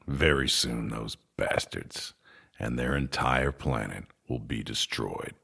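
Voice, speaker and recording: An actor playing a weary, bitter, irritable man in a sci-fi audio drama, with a rough, muttering delivery. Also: a bass voice, slow pace, sharp tone, full character voice. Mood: grim, matter-of-fact. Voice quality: gravelly, rough.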